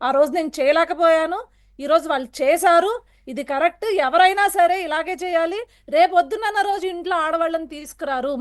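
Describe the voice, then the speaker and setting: Very high-pitched woman arguing forcefully. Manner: forceful, accusatory, rhetorical questioning. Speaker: political panel guest. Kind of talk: heated television political debate.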